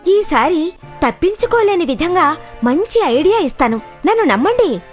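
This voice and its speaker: A crafty animal schemer in a children's cartoon. The voice is high and persuasive, with music playing underneath. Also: female voice, big pitch swings, normal speed. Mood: conspiratorial. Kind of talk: children's cartoon story acting.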